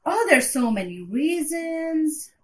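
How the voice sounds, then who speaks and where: A woman in her thirties from Pakistan co-hosting a casual podcast, talking in a frank, relaxed way with some hesitation. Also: sits high, slow, a little hiss or hum. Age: 30s. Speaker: podcast co-host.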